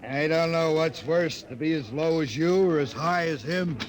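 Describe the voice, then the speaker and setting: A gruff but amused bartender, acted by a man in vintage radio drama. His fairly high voice is brusque and wisecracking. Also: normal speed, natural voice. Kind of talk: 1950s radio drama acting.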